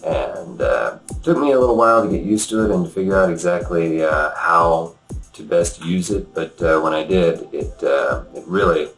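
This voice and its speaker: A man explaining his work in an interview, speaking in a halting, hesitant way. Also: low voice, expressive, fairly bright tone. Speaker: animator, interviewee. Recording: roomy sound with faint music.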